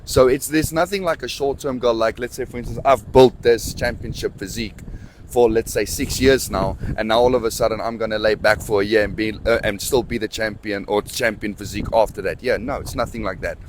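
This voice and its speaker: Steady young man, lowish voice. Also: fairly quick, slight background noise, low-quality recording. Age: 20s. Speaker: bodybuilding champion. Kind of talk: casual interview answers.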